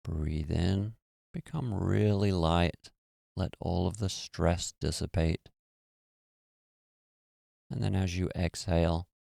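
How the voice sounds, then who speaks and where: A man guiding a meditation in a slow, soothing, hushed way. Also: low voice, creaky, dry recording, clean studio sound. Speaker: meditation guide. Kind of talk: guided meditation.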